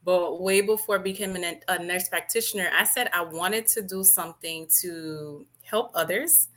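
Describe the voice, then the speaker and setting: Female interview guest from Miami, bright crisp-edged tone, composed, conversational explainer. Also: on the low side, normal speed. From Miami, Florida. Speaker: podcast guest, nurse and business owner.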